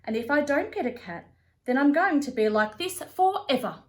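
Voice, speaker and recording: A woman's voice: high-pitched, animated, normal speed, voice pushed up. A woman voicing a cheeky, stubborn little girl from a children's storybook, defiant and insistent.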